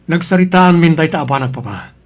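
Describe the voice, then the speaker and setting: Stubborn Ilocano son played by a man, low-key drama. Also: lively intonation, fairly quick, close to an everyday voice. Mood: firm, cold. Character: stubborn adult son, cold husband leaving his pregnant wife. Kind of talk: Ilocano audio drama acting.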